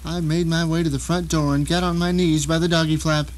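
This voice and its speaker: A man's voice: fairly high pitch, level tone, a little fast, natural voice. A man playing a bitter, vengeful blind man trapped at home, narrating an audio drama in an intense, theatrical way. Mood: desperate, determined. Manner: intense, theatrical, emphatic delivery.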